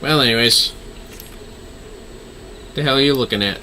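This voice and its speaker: A man's voice: medium pitch, moderate pace, sharp tone, a little hiss or hum. A man livestreaming a game with casual commentary and offhand, joking, muttered asides.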